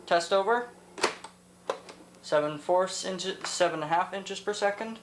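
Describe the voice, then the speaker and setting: A woman's voice: on the low side, measured pace. A seventeen-year-old young woman giving a casual, unscripted equipment demonstration, hesitant and thinking aloud. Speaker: vintage tech hobbyist demonstrating a tape recorder. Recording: roomy echo with faint background noise.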